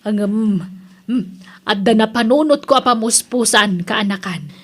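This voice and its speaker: A very high-voiced, bossy auntie acted by a woman who presides over the village women's club. She speaks with an Ilocano accent and pushes her schemes in the manner of comedy radio drama. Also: a little slow. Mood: scheming, persuasive.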